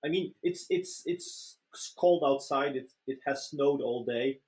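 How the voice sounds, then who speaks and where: A man's voice: medium pitch, a little slow, a little mellow. A man from Poland, explaining conversationally as a guest, hesitant and repeating words as he goes. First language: Polish. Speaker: interview guest, professional trainer.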